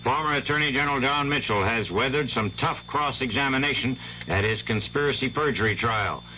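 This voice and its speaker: A man reading the hourly network news as newscaster in a measured, authoritative way. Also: medium pitch, sharp tone. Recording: muffled old radio broadcast with faint hiss.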